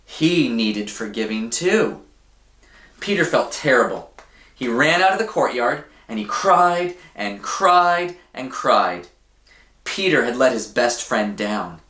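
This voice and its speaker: Animated, slow-paced man reading to children. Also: mid-range voice, echoey room. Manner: deliberate, expressive, asks the listeners questions. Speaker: church storybook reader for children. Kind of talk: animated read-aloud storytelling for children.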